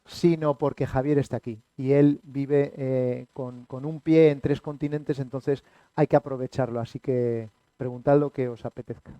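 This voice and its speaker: Mellow-voiced man presenting a book talk. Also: mid-range voice, steady tone, moderate pace, dry recording. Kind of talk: conversational discussion.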